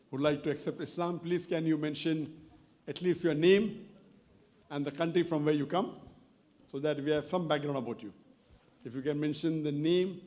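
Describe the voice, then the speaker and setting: A man giving a public religious lecture into a stage microphone, speaking politely and deliberately as he leads an audience through call-and-response. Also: a little high, a little mellow. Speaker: Islamic lecturer. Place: lecture hall.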